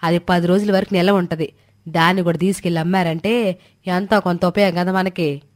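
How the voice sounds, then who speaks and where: Woman doing older village mother-in-law for a story cartoon, careful and homely, slightly low voice. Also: steady tone, fairly quick, close to an everyday voice. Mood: homely, practical. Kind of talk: dramatized Telugu moral story dialogue.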